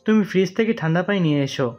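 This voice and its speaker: A man acts a sly young tease in a family audio drama. His voice sits a little high for a male, and the recording is dull and muffled. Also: expressive, fast, a little mellow. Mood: sly, polite.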